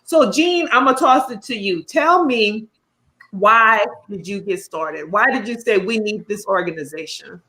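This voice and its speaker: A woman hosting a live panel show, talking in an enthusiastic, animated way. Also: mid-range voice, a little slow. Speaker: host.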